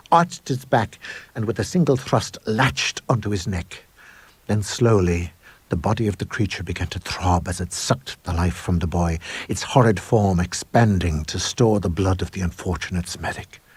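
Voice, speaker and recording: A middle-aged man narrating a supernatural tale as a scripted dramatic reading, measured and suspenseful. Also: low voice, a little mellow, husky, no room sound. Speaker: audiobook narrator.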